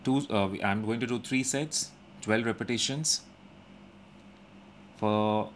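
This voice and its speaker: A young man calmly narrating his gym workout as a voice-over, explaining each step with frequent pauses. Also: on the low side, slight background noise. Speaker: fitness vlogger. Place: indoor gym.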